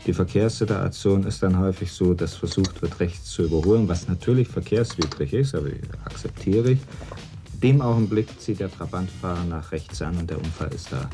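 A man's voice: sits low, brisk, mellow, soft background music. A man explaining things in a matter-of-fact, rather formal manner.

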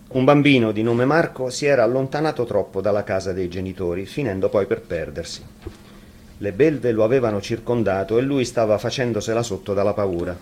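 Low-voiced man reading a fairy tale. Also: darkish tone, slight background noise.

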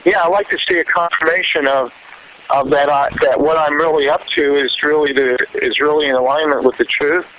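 A man calling in by phone, speaking in a halting, rambling way and often reworking his phrases. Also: a little high, steady tone, a bit bright. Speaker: caller to a prayer line. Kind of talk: unscripted phone conversation. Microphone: telephone. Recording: muffled, noisy phone call recording.

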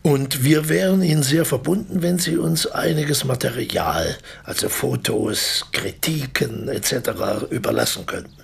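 An actor playing an evasive, talkative older former stage actor in a radio play, hesitant and theatrical, with a husky voice. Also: male voice, mid-range voice, moderate pace, hardly any pauses, full character voice. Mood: ingratiating. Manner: hesitant, self-correcting, theatrical. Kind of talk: German radio drama dialogue.

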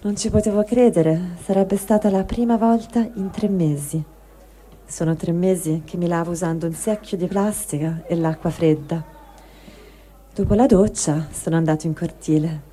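A woman's voice: fairly low pitch, warm tone. A woman giving a dramatic reading of a first-person written testimony. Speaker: reader of a translated testimony.

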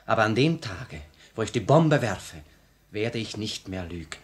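An actor playing a candid, idealistic young revolutionary in a 1950 radio play, earnest and impassioned in delivery. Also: male voice, on the low side, lively intonation, moderate pace, slight background noise, natural voice. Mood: fervent, idealistic.

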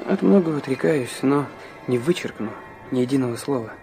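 An actor as earnest young memoirist, creaky voice. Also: male voice, mid-range voice, lively intonation, fairly quick, warm tone, soft background music, natural voice. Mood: introspective, resolute. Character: earnest young man narrating his own confessional memoir. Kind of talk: literary radio drama monologue.

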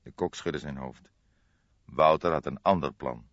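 A man reading a crime story as an audiobook narrator, in a measured storytelling manner. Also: very deep voice, fairly bright tone, no room sound, highs cut off.

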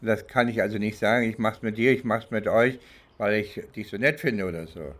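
A man from East Germany, middle-aged or older, recollecting in a deliberate, halting way as he searches for words in an interview. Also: lowish voice, a bit bright. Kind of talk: reflective spoken recollection.

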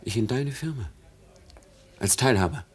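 A man acting a bitter, unforgiving grown son in a classic radio drama, husky and intense, with a sardonic, accusing way of talking. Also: mid-range voice, animated, moderate pace, darkish tone. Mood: wary.

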